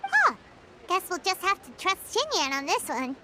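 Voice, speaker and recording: A woman voicing a tiny, chatty, excitable childlike fairy companion in a video game, squeaky and fussy. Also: normal speed, very noisy. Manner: speaks of herself in third person. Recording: music behind the voice.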